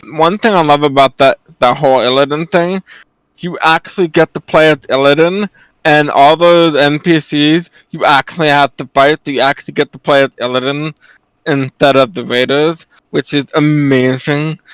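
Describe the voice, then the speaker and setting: A man's voice: a little high, fairly even intonation, moderate pace, fairly bright tone, smooth, no room sound, poor audio. A man giving casual gaming commentary as a reacting YouTuber.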